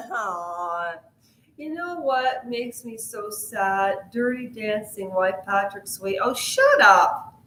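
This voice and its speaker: A woman's voice: mid-range voice, lively intonation, slow, sharp tone, slight background noise. Chatty woman vlogger in an echoey room. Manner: chatty, jumps between tangents, quizzes the viewer. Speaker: pop culture vlogger. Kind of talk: rambling casual commentary to viewers.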